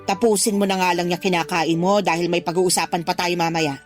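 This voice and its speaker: A woman's voice: fairly low pitch, flat delivery, brisk, close to an everyday voice. An actress playing a demanding middle-aged Filipino mother who scolds and guilt-trips in a radio drama. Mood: stern, reproachful. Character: demanding, nagging mother pressuring her grown daughter. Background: background music.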